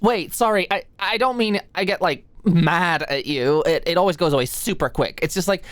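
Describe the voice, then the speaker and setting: A woman's voice: lowish voice, moderate pace, no echo, lightly acted. Woman acting as anxious, rambling young student. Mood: shy, grateful. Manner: rambling, stammering, hesitant, swearing. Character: anxious, stressed-out young female medical student, self-doubting, sweet. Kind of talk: intimate ASMR roleplay monologue.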